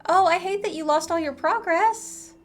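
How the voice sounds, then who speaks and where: Female game streamer, American accent: playful, chatty, swooping high voice, music in the background. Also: normal speed. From eastern United States. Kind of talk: casual live gameplay commentary.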